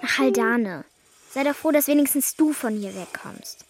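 A woman's voice: high voice, moderate pace, slight background noise. An actress playing an earnest, youthful girl who is a devoted friend, in a German children's radio drama. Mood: friendly, earnest.

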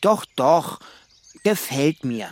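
An actor in a children's radio play playing a naive, proud young would-be sailor, earnest and defiant. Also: male voice, on the high side, big pitch swings, slow, a little mellow, dry recording.

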